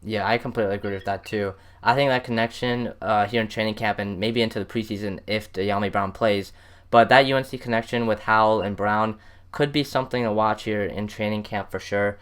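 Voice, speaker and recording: A man's voice: lowish voice, fairly even intonation, fairly quick, smooth. A man with an American accent hosting a casual sports interview and asking questions. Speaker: podcast host, interviewer.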